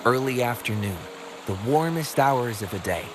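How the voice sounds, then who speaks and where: A man voicing a chatty, gently wry townsman in a video game, telling local stories in a conversational way. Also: medium pitch, animated, normal speed, natural voice. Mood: explanatory, storytelling. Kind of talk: video game character dialogue. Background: game background music.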